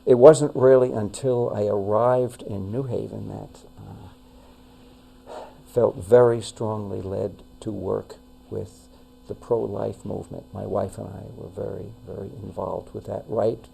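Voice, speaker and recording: An older man with a New York accent, a retired pastor talking as an interview guest, measured and full of fillers and restarts. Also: fairly low pitch, flat delivery, gravelly, warm tone, slightly rough, poor audio. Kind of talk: casual interview talk.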